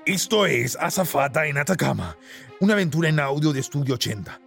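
A man's voice: on the high side, animated, brisk, vocal fry. A man narrating a scripted audio drama in a wry, playful, dramatic way, addressing the listener directly. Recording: loud music underneath.

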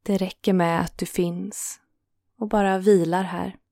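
Calm lady doing a guided meditation, soothing and pretty monotone, really dry close sound. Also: lowish voice, dry recording. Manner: calm, soothing, unhurried with gentle pauses. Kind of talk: guided yoga nidra relaxation.

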